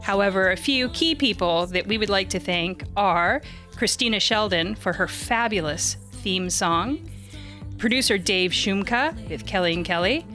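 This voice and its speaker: A woman hosting a conversational podcast, often pausing, repeating and correcting herself as she goes. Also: mid-range voice, lively intonation, bright, forceful tone, soft background music. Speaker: podcast co-host.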